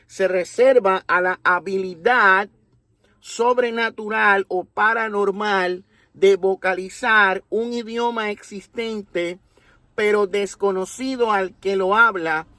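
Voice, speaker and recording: A man from Puerto Rico with a Puerto Rican accent, explaining a religious topic in a deliberate, teacherly way, often checking in with his listeners. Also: high voice, fairly even intonation, sharp tone, dry recording. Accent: Puerto Rican Spanish. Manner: deliberate, teacherly, with rhetorical tags. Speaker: religious commentator. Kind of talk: explanatory monologue.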